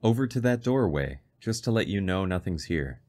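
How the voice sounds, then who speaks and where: An actor playing an earnest, concerned fiance who recounts a strange tale in a radio drama. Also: male voice, deep voice, expressive, normal speed, darkish tone. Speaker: lead actor and first-person narrator.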